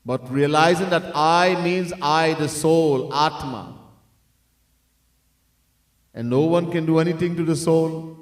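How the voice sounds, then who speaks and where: Man, spiritual teacher, higher voice, earnest, repeats for emphasis, roomy echo, distant mic. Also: slow, long pauses. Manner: breaks into sung chanting of verses. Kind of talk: devotional discourse. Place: temple hall. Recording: echoey room, mic set far off.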